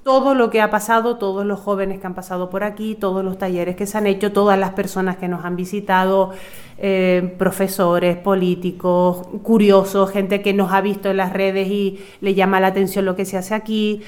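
Woman from tenerife explaining her camp, lots of pauses and restarts. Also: mid-range voice, level tone, a little mellow. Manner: hesitant, searching for words. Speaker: summer camp coordinator. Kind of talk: interview answer.

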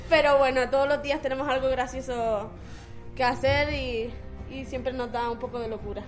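Young woman, high voice, casual interview chat, music behind. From Las Palmas, Canary Islands, Spain. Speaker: interviewee, summer camp photographer.

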